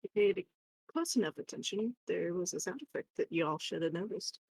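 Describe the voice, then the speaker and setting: A woman's voice: on the low side, lively intonation, fairly quick, vocal fry, darkish tone, husky, no echo. A woman chatting casually as co-host of a livestream.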